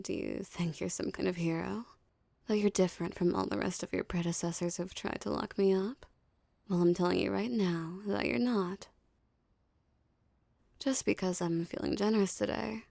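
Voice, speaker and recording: Woman acting a creaky, seductive female mafia boss. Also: fairly low pitch, warm tone, dry recording. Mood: cool, threatening. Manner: slow, teasing, condescending drawl. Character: dominant, seductive female mafia boss, menacingly self-assured. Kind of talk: ASMR roleplay acting.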